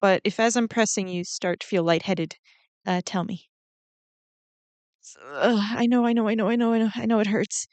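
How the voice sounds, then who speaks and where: A kindly, flustered ant queen, acted by a woman, speaks straight to the listener in a roleplay audio drama. Her medium-pitched voice is gentle and hesitant, prone to rambling. The recording is very dry, free of room sound. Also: normal speed, long pauses, clear, resonant tone, no room sound, natural voice. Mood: caring, flustered. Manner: gentle, hesitant, rambling with small nervous laughs.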